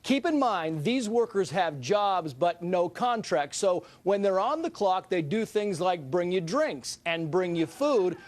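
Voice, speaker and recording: High-voiced man filing a news report. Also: lively intonation, a little slow, few pauses. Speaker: correspondent.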